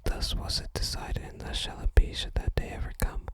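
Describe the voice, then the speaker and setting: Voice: fairly quick, close to an everyday voice. A gamer whispering as a solemn reclusive sage. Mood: solemn, resigned. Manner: solemn, archaic formal phrasing. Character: reclusive, gloomy sage guarding a shrine.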